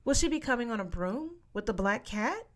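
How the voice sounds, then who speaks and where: A woman's voice: fairly high pitch, big pitch swings, a little fast, pitched above the performer's own voice. A woman voicing a curious, eager young girl in a story reading.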